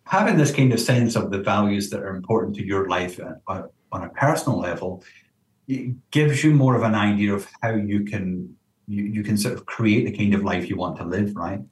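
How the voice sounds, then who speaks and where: A man's voice: fairly low pitch, moderate pace. A man speaking as a counsellor being interviewed, explaining in a conversational way and often checking in with the listener. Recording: roomy echo, distant from mic.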